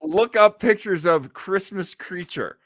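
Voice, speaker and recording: A man's voice: high-pitched, expressive, measured pace, a bit bright, dry recording. A man hosting a casual chat, talking in a jokey, theatrical and effusive way. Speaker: host.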